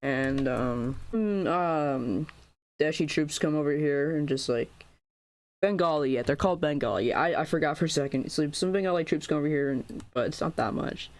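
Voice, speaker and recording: A young American man giving casual, rambling commentary over a map video, full of fillers and restarts. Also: a little high. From United States.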